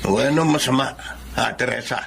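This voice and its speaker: A man with a Filipino accent playing a gruff but fond, nagging older father with a gravelly voice in a radio drama. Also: medium pitch, a little fast, a bit bright, a little hiss or hum. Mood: gently scolding.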